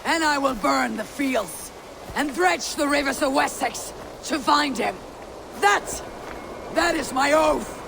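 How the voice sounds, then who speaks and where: A woman playing a resolute Viking clan leader in a video game, speaking in a grave, commanding, deliberate manner. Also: sits high, gravelly, noisy background, full character voice. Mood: impassioned. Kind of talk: dramatic video game acting.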